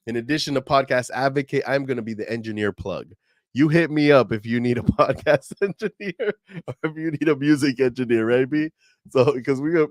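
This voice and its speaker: A man's voice: medium pitch, dry recording. Chatty male podcast host, dry sound. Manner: conversational, animated, with fillers and slang. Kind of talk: casual podcast chat.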